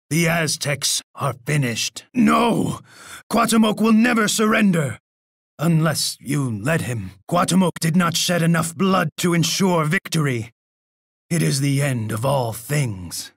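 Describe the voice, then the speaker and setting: Game villain: imperious Aztec warlord, taunting and bloodthirsty, husky gravel in the throat, dry studio sound. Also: male voice, medium pitch, a bit bright, no room sound, exaggerated voice. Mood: grave defeat, then defiant. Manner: imperious, taunting, refers to himself in third person. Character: menacing, boastful, bloodthirsty Aztec emperor and warlord. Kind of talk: theatrical video game character voice lines.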